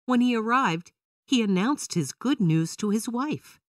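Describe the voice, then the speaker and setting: A woman's voice: fairly high pitch, expressive, unhurried, no echo, studio quality, distant mic. A woman storyteller reading a scripted children's story as narrator.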